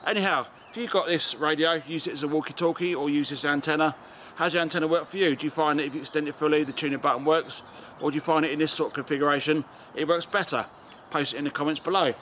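A middle-aged English man talks casually to camera as a hobby radio host. His voice sits a little high for a man. It is recorded outdoors, with faint ambient noise behind him. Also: fairly even intonation, fast, fairly bright tone. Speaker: amateur radio video host. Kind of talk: casual presenter talk to camera. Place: outdoors in a garden and open high spot. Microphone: camera or phone at about arm's length.

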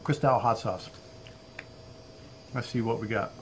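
A man in his fifties hosting a casual outdoor cooking demo, relaxed and chatty, often saying "all right", recorded on a camera mic on a patio. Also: mid-range voice, a little slow, slight background noise. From Florida. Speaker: outdoor cooking channel host. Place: outdoor covered patio at a table.

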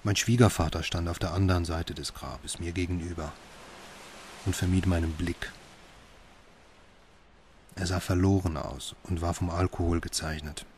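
A man's voice: sits low, fairly even intonation, moderate pace, long pauses, darkish tone, close to an everyday voice. An actor playing a troubled, grieving father in an audio drama, speaking and narrating sombrely. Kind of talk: German radio drama acting and narration.

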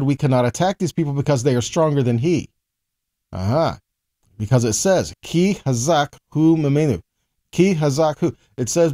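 Mellow-voiced middle-aged man teaching scripture. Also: mid-range voice. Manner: conversational, emphatic, rhetorical questions. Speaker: Bible teacher. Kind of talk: teaching sermon to camera with scripture readings.

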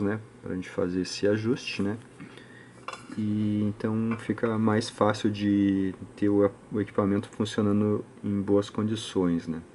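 A Brazilian man walks through a technical procedure at an indoor workbench. His voice is relaxed and soft-edged, on the low side. He talks haltingly and informally, with noticeable background noise around him. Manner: halting, often adds short check-in tags. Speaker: electronics repair channel host. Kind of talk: informal hands-on technical tutorial. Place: indoor home electronics workbench.

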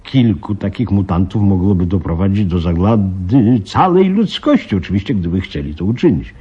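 An actor playing a flustered, grave veteran police commissioner in a radio play, husky and halting, repeating himself. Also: male voice, low-pitched, big pitch swings, full character voice. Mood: flustered, alarmed. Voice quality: husky, breathy. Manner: halting, muttering, repeats himself, dramatic emphasis. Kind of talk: Polish radio play acting. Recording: slightly dull radio play recording.

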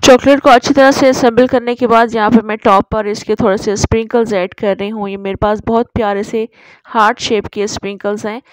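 A woman's voice: a little high, steady tone, fairly quick, dry recording, poor audio. A woman narrating a recipe tutorial as a voice-over, explaining each step in an instructive way. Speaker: cooking channel host.

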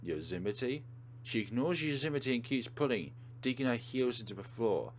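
Guy doing a lets play, reads the story out n chats, middling pitch, bit of noise. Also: expressive, normal speed. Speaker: let's play host. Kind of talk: reading game narration aloud with casual commentary.

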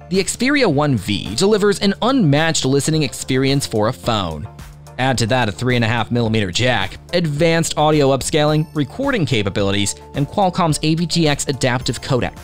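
A man reading scripted product review narration with a polished promotional delivery. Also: mid-range voice.

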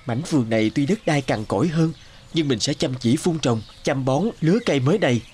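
An actor playing a humble, dutiful young peasant son in an animated folk tale, mild and sincere, with music behind. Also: male voice, medium pitch, a little fast, a little hiss or hum, close to an everyday voice. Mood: earnest, polite.

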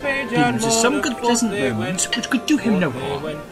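A man's voice: very high voice, big pitch swings, normal speed, over loud music. A man playing a good-natured, merry young nephew chatting in an audio play. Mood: amused, forgiving.

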